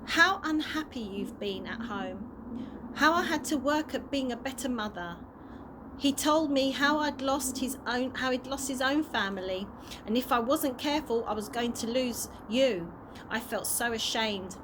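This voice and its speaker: Lady reading as an upset sorry mum, pleading n emotional, highish voice, bit of noise behind. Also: lively intonation, unhurried. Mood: ashamed, loving. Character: distraught, remorseful mother pleading with her daughter. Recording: noisy amateur home recording.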